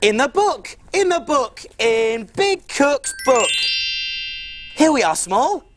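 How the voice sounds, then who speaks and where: A woman's voice: high voice, sing-song, slow pace, over music, full character voice. A woman playing a cheerful cafe cook on a children's show, talking with enthusiasm.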